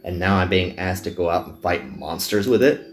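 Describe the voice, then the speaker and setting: A man's voice: low voice, moderate pace, faint music behind, close to an everyday voice. A man reading a reluctant, self-deprecating young male magician recruit from a visual novel, dry and downbeat. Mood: doubtful.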